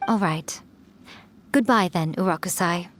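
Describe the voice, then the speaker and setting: A woman's voice: lowish voice, unhurried, slight background noise, close to an everyday voice. Woman voicing a poised, teasing fox spirit lady. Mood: content, teasing. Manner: poised, coy, knowing. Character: sly, elegant centuries-old female fox spirit, smug and teasing. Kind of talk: English video game voice acting.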